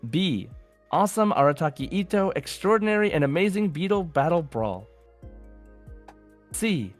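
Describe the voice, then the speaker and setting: A man hosting a quiz podcast in a casual, conversational way, reading out deliberately as quizmaster. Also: high voice.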